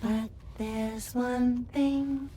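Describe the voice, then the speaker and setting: Very slow, high, gentle singing woman. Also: fairly even intonation. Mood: gentle, soothing. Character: gentle woman singing soothingly while she works. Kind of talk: soft sung lullaby with brief spoken asides.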